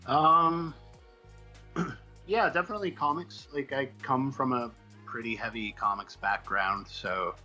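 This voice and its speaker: A man answering interview questions in a casual, halting, self-deprecating way, thinking out loud as he rambles along. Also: medium pitch, faint music behind, low-quality recording. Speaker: comic book writer being interviewed.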